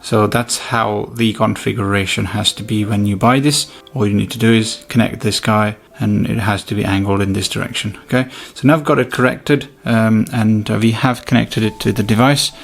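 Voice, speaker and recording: A man's voice: fairly low pitch, steady tone, moderate pace, vocal fry, few pauses, soft background music. A man doing a casual, hands-on product review, thinking aloud informally as he demonstrates. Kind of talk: casual unboxing and review commentary.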